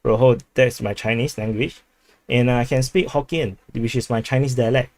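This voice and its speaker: A man's voice: medium pitch, fairly even intonation, moderate pace, darkish tone. A man from Singapore with a Singaporean English accent, hosting a casual livestream, rambling with many restarts while reading chat aloud. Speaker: livestream host.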